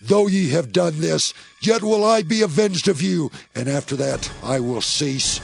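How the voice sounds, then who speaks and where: Man playing Samson, proud gravelly biblical strongman. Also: on the high side, expressive, a little slow, soft background music. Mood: defiant, vengeful. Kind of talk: dramatized scripture, archaic English.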